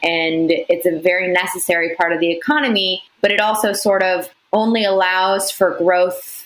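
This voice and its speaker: Low-voiced woman, echoey room. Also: steady tone, fairly bright tone. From United States. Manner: measured, explanatory, many "you know" fillers. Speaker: founder, podcast guest. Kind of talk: conversational interview answers.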